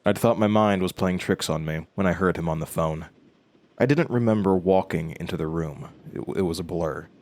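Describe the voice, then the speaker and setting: A man playing a haunted, brooding protagonist who narrates his traumatic past haltingly in an audio drama. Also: deep voice, darkish tone, a little hiss or hum, close to an everyday voice. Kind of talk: scripted first-person audio drama narration.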